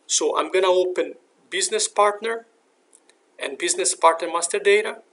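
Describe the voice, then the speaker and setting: A man narrating a software tutorial as an instructor, explaining methodically step by step. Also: high-pitched, moderate pace. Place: voice-over for a screen recording.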